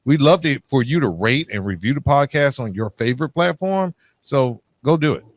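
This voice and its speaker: A man's voice: medium pitch, expressive, moderate pace, no room sound. A man hosting a podcast in a casual, slangy and encouraging way, speaking conversationally to guest and listeners. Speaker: podcast host. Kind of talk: casual conversational interview and sign-off.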